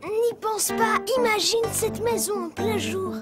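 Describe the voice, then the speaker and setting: Scaredy little cartoon boy, really high voice, whiny n pleading, loud music behind. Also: a little slow. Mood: pleading, teasing. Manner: whiny, wheedling. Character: timid, pleading little boy afraid of the dark. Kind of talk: cartoon voice acting.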